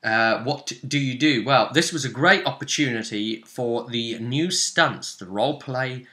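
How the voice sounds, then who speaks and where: A man recapping a tabletop role-playing session as game master, in a casual, animated storytelling manner. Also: mid-range voice, measured pace.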